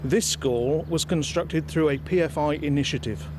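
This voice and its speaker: A man's voice: on the high side, moderate pace. Man giving formal statement outdoors, noisy. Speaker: family's solicitor. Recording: noisy outdoor recording with hum.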